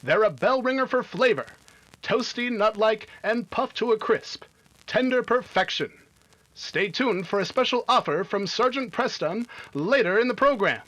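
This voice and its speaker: A man's voice: high voice, expressive, normal speed, fairly bright tone, rough recording. A man playing a hearty, emphatic announcer and narrator of a 1950s radio adventure serial. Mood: hearty selling. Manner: dramatic, emphatic.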